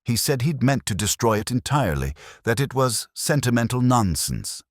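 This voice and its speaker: A man reading a scripted first-person detective story in a measured, brooding, literary manner. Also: mid-range voice, big pitch swings, dry recording, studio quality. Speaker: narrator.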